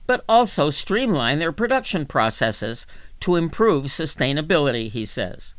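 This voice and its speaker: A woman reading magazine articles aloud as scripted narration, in a measured, clearly phrased way. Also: low voice, a bit bright, no room sound. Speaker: volunteer reader for an audio reading service.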